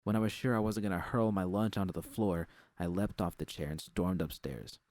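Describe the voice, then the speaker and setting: A man's voice: low voice, fairly quick, darkish tone, no room sound, studio quality. A man reading a scripted first-person story as narrator.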